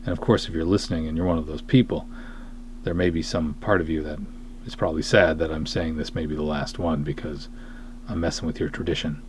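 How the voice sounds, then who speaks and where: Casting: man, low register, casual solo podcast host, self-deprecating and discursive; slight background noise. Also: fairly even intonation, brisk. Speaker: podcast host, film writer and director.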